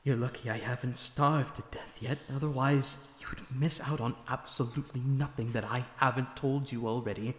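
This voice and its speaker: A man playing a lonesome captive vampire in an audio roleplay, weary, bitter and sardonic, with measured dramatic phrasing. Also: medium pitch, steady tone, a bit bright, close to an everyday voice. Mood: weary frustration. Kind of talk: ASMR audio roleplay acting. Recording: echoey reverberant room with background noise.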